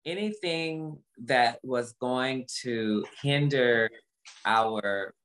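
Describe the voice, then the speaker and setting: A woman in her fifties talking candidly as a guest, earnest and halting, often repeating phrases for emphasis. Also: very deep voice, a bit bright, rough recording. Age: 50s. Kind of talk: candid conversation, motivational talk.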